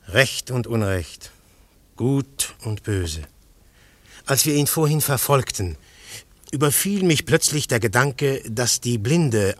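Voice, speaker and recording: Ein Schauspieler spielt in einem Hörspiel einen leidenschaftlichen Detektiv, der mal drängend ruft, mal leise grübelt. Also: male voice, mid-range voice, dry recording. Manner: fervent, declamatory, shifting to hushed musing. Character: intense male detective, brooding philosopher. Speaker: detective narrator. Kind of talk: radio play acting.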